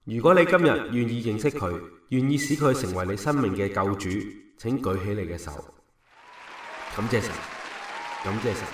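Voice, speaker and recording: In a roomy, echoing space, a little away from the mic, a man acts out an earnest Cantonese evangelist. He addresses a congregation with measured, declamatory phrasing, as in a radio drama sermon. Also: on the low side, lively intonation, crowd noise, lightly acted. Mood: earnest, uplifting. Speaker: preacher giving testimony. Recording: echoey hall, distant from mic.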